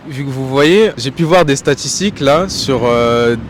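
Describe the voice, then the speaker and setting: A man's voice: mid-range voice, animated, normal speed, noisy background. A man hosting a street interview outdoors in Paris, asking passersby casual, probing questions with informal follow-ups.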